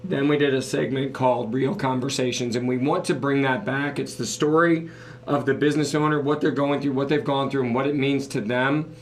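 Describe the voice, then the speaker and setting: A man hosting a podcast in a casual, conversational way, his voice hoarse as if he is losing it. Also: medium pitch, fairly even intonation, fairly quick, a little hiss or hum. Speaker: podcast host. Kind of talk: casual talk, sponsor thanks.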